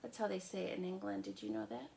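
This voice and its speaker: A woman teacher doing a storytime read-aloud with chatty asides, expressive and speaking as if to children. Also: mid-range voice, quick pace, gravelly, slight background noise.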